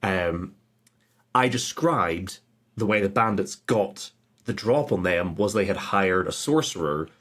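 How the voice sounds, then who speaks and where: A husky-voiced man chatting casually and anecdotally as a game master guest. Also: low-pitched, expressive, slow pace, a bit bright. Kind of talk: casual anecdotal chat, with scripted dramatic trailer narration.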